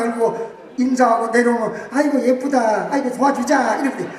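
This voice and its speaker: A man giving a rousing congratulatory speech to an audience, shouting his points emphatically. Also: very high voice. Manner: emphatic, shouting slogans to the crowd. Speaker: guest speaker. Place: large banquet hall with audience. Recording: very echoey hall, distant mic, crowd behind.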